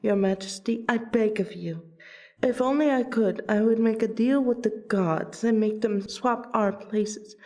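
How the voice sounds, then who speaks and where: A woman playing a courteous royal vampire and loyal friend in a fantasy audio roleplay, with a polite, theatrical, deliberate delivery. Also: on the high side, warm tone. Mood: pleading, awed.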